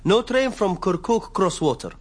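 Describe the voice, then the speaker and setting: An actor playing a polite desert rest-house servant in a radio drama, a non-native speaker using broken, deferential phrases. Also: male voice, high-pitched, big pitch swings, moderate pace, a little hiss or hum. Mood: apologetic, matter-of-fact. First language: not English.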